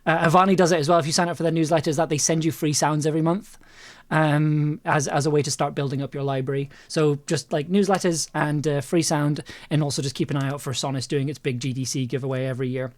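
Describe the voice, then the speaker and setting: A man's voice: fairly high pitch, flat delivery, fast, dry recording. A man hosting a casual livestream group chat, often repeating and rephrasing himself as he talks. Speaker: host.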